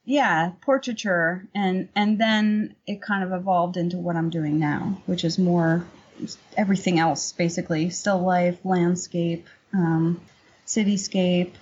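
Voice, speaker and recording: A middle-aged woman with a husky voice, talking candidly and informally as an interview guest, rambling a little. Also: lowish voice, steady tone, unhurried, darkish tone, highs cut off, a little hiss or hum. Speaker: painter, podcast guest. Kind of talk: casual interview chat.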